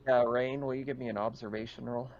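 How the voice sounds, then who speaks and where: A man's voice: medium pitch, steady tone, quick pace, darkish tone. A man running a tabletop horror roleplaying game as game master, moving between improvised scene narration and casual questions to players. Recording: dull, lo-fi online game audio.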